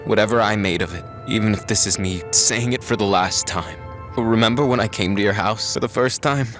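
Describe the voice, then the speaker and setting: Actor as a low-voiced, remorseful, lovelorn man. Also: a little fast, music in the background, natural voice. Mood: remorseful, aching. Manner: earnest, pleading. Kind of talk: radio drama acting.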